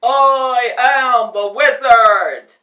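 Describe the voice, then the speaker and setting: A woman voicing a stern giant floating male head that speaks loudly and slowly. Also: a little high, far from the mic, voice pushed up, full character voice. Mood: booming. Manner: loud, slow, commanding. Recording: echoey room, voice off-mic.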